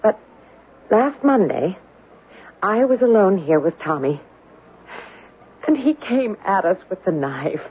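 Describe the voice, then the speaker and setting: An actress playing an anxious young mother who fears a ghost in her house, speaking nervously with stammers and a breathy voice in a 1970s radio drama. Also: medium pitch, very animated, takes it slowly, pauses often, full character voice. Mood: scared. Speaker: frightened homeowner. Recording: narrow-band old radio broadcast.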